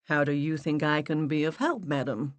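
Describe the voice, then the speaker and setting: A woman's voice: low-pitched, moderate pace, no echo, natural voice. A woman voicing a courteous young male receptionist who speaks formally and firmly. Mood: polite, firm.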